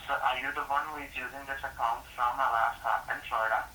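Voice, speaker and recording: A man's voice: mid-range voice, fairly even intonation, fast, bright, forceful tone. A man with an Indian accent on a call-center phone line, hesitant and full of fillers, formally addressing the caller as sir. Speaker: phone scammer. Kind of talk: scripted call-center talk. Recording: phone line heard through speaker, roomy, distant.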